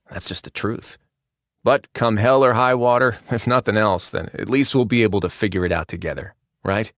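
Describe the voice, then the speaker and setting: A man's voice: lowish voice, no room sound, natural voice. A man playing a wry, composed prison warden in a fantasy game, speaking with dry, laid-back, understated wit. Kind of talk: video game character voice lines.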